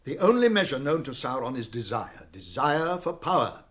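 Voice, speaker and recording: An actor with a British accent playing a wise, commanding old wizard in a radio fantasy drama, speaking formally and deliberately. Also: male voice, medium pitch, big pitch swings, fairly bright tone. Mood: shrewd, urgent.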